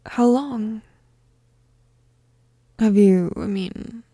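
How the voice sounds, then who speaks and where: Woman acting a breathy, whispery young goth roommate. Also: mid-range voice, drawn-out words, no echo, clean studio sound. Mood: tentative, tender. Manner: hesitant, gentle, teasing, breathy murmuring. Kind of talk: intimate audio roleplay, soft and half-whispered.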